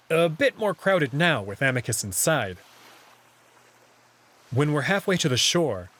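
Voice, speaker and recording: A man's voice: medium pitch, a bit bright. A man giving scripted narration and commentary as a host, with wry, playful asides. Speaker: host reading a visual novel and commenting on it.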